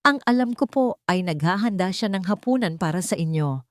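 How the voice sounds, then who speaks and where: A woman's voice: lowish voice, lively intonation, fairly quick, no echo, clean studio sound, lightly acted. Woman voicing a deferential Filipina household helper. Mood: apologetic. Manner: polite, deferential. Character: respectful female household helper.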